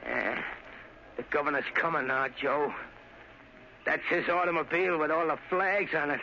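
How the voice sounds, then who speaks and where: A man's voice: a little high, normal speed, gravelly, pushed and bright. An actor playing an unstable young killer in an old-time radio thriller, agitated, slangy and childlike in his obsession. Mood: grim, obsessed. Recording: noisy, muffled vintage radio recording.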